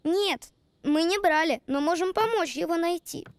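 A woman's voice: very high-pitched, moderate pace, slight background noise. A woman voicing a polite, earnest young child in a cartoon, with an apologetic manner. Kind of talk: cartoon character acting.

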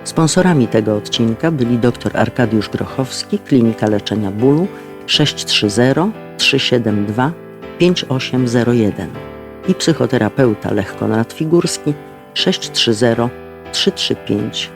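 A man's voice: medium pitch, lively intonation, measured pace. A man reading scripted credits and sponsor announcements as the announcer of an audio drama. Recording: guitar music behind the voice. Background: plucked guitar music.

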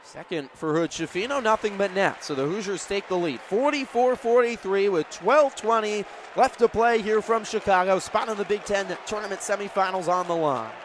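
A man in his twenties doing sports commentary and reporting in crisp, polished short phrases. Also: sits high, normal speed, a little hiss or hum. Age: 20s. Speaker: play-by-play sports broadcaster and reporter.

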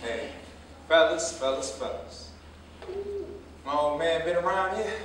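Man acting high-pitched grown son, echoey and distant. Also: animated, a little slow. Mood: puzzled. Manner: stammering, repeating himself. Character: grown son, bewildered then anguished at father's death. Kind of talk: staged play reading. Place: indoor stage, distant mic. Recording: roomy echoing stage from far off, faint noise.

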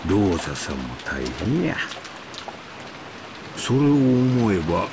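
An actor playing a genial, gentle middle-aged husband with a Kansai Japanese accent and a folksy, soothing manner. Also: male voice, fairly low pitch, sing-song, moderate pace, gravelly, noisy background. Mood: affable, soothing. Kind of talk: Japanese visual novel acting.

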